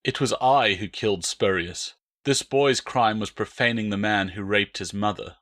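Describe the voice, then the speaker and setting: A man voicing a principled Roman soldier who stays even, firm and measured under threat in an audiobook. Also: on the low side, natural voice. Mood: calm, stern.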